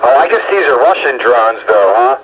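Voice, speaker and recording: A man's voice: medium pitch, lively intonation, moderate pace, highs cut off. A man hosting a casual radio chat, talking informally over a two-way radio link. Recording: noisy band-limited two-way radio.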